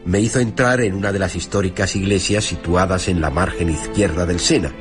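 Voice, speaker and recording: An actor playing a wry, worldly narrator of a short story in measured radio style. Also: male voice, low-pitched, close to an everyday voice. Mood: wry, sly. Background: clear background music.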